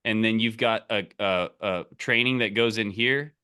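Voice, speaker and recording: A man's voice: lowish voice, normal speed, bright, forceful tone, clean tone. A man coaching on a call in a casual, emphatic and direct way, salesy and often checking in with the listener. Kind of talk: casual coaching, explaining and giving example scripts.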